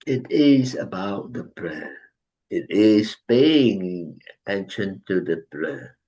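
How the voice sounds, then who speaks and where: A man leading a guided breathing meditation, giving calm instructions with long pauses. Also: low-pitched. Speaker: meditation teacher.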